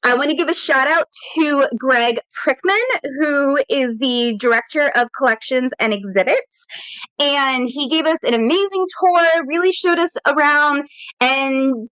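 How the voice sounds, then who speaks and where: A woman's voice: sits high, measured pace, fairly bright tone, no echo, low-quality recording. A woman hosting a casual podcast, chatty and upbeat, laughing easily. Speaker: podcast host and editor. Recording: dry room, some static.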